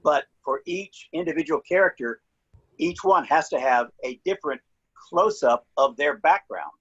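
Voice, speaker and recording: Slightly muffled call audio carries a husky man's voice. He explains his work informally, in a halting way, often doubling back on his words. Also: on the high side, animated, a bit bright. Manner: halting, hesitant, repeats words. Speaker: panelist, filmmaker and editor of online play. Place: video call.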